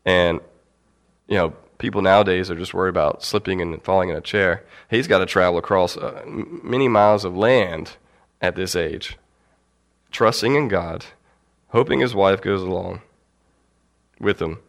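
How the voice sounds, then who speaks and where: A young man with a cold giving a casual, halting Bible teaching to his church, with fillers and light laughs. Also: low-pitched. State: has a cold.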